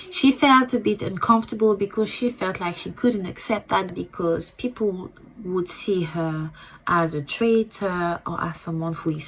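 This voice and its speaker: A woman with a French accent talks through a memoir she has read, in a loose and rambling way. She often repeats herself and pauses with ums, and her voice sits slightly low. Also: slight background noise, rough recording. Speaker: booktuber. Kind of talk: casual book review.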